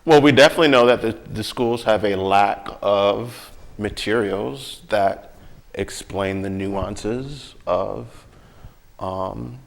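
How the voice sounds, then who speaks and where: A man with a fairly low, gravelly voice talks at an unhurried pace. He sounds reflective and conversational, stressing his points and tossing in rhetorical questions as he goes. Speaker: school bus monitor sharing experiences.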